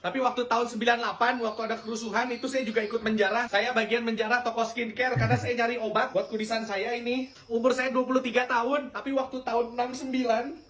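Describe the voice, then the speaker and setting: A stand-up comedian performing a deadpan comic monologue that keeps returning to one running gag. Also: medium pitch, quick pace.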